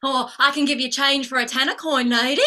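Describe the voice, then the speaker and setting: A woman's voice: sits high, steady tone, fairly quick, pushed and bright. A woman playing a poor, cheeky young flower girl in a staged play reading, whining and protesting in ungrammatical street talk. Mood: indignant, cheeky.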